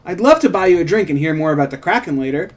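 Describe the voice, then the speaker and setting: A man's voice: a little high, expressive, quick pace, natural voice. A man voicing a courteous, inquisitive visiting woman journalist in a polished, probing manner at a script reading.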